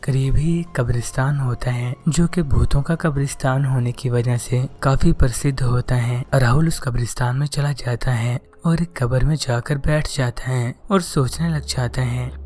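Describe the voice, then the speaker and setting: Male narrator for a cartoon horror tale, mellow and dark-toned. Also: mid-range voice, fairly even intonation, moderate pace, soft background music. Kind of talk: scripted storybook narration.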